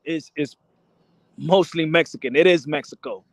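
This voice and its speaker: A man's voice: fairly high pitch, normal speed, no room sound. A man from New York arguing in a casual boxing chat, repeating words and restarting his sentences. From New York, Dominican family. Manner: repeats himself, stumbling restarts. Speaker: panelist. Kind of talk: heated casual debate.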